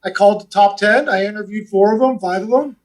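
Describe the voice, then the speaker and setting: High-voiced man, a bit off-mic. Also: fast, far from the mic. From West Los Angeles. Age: 40s. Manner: easygoing, chatty storyteller. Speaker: guest, real estate investment advisor. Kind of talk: casual podcast interview.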